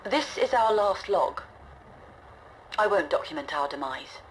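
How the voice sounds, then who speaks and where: An actress playing a weary, resolute woman leading a stranded generation ship, recording game audio logs in a measured, grave, reflective manner. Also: medium pitch, lively intonation, a little hiss or hum, lightly acted, poor audio. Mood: solemn farewell. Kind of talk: scripted audio log narration, dramatic game acting.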